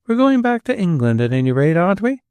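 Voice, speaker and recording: Gentle motherly little girl, as a male reader puts her on, soft and lifted. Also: high-pitched, very animated, fast, clean tone, no echo, pitched above the performer's own voice. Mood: soothing. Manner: gentle, maternal.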